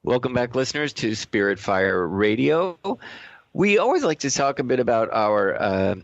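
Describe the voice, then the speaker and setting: Guy hosting a talk radio show, creaky voice, bit dull sounding audio. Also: mid-range voice, sing-song, moderate pace, dry recording.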